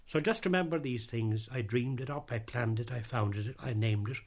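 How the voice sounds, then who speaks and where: A middle-aged or older man giving a scripted personal radio talk, emphatic and precise, repeating phrases deliberately for effect. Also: mid-range voice. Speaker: radio drama founder giving his recollections.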